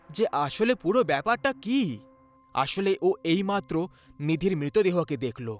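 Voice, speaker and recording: A man's voice: high-pitched, fairly bright tone. A man narrating a scripted horror story in a dramatic storytelling style. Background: background music.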